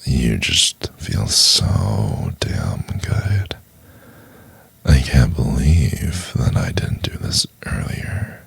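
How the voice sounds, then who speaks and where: A man playing a gravelly, extremely deep domineering boss. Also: very animated, dry recording, heavily acted. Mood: seductive, possessive. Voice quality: very deep, gravelly, breathy half-whisper.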